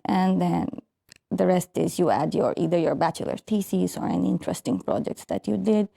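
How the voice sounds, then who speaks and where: Young woman from Bangladesh, gravelly mellow voice. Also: fairly low pitch, animated, a little fast. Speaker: recent architecture graduate. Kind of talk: casual interview answers.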